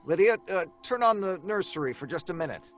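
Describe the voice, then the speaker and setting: A man's voice: high voice, lively intonation, brisk, a bit bright, faint music behind. A man playing a stern, exasperated father in an audio drama. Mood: harried. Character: George, stern, harried father.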